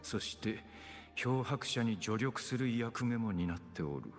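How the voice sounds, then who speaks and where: An actor playing a solemn, stern video game warrior who speaks in formal, old-fashioned wording. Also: male voice, medium pitch, animated, normal speed, lightly acted. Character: solemn, archaic-speaking male warrior on a deadly mission. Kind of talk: Japanese video game voice acting. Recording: reverberant game audio with music.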